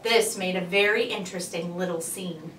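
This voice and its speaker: A woman reading a children's storybook aloud like a librarian, with deliberate phrasing and emphasized words. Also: lowish voice, a bit bright. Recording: roomy echo, distant mic, faint noise.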